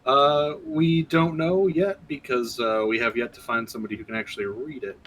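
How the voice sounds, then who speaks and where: A man in a tabletop game, speaking in character in a halting, hesitant way. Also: mid-range voice, fairly even intonation, a bit bright. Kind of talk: playing his character in casual play.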